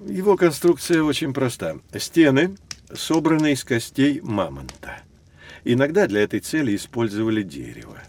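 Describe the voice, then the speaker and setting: An actor playing a knowledgeable male professor who explains prehistory to children in a scripted educational audio drama. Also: mid-range voice. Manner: explanatory, lecturing. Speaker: educational guide.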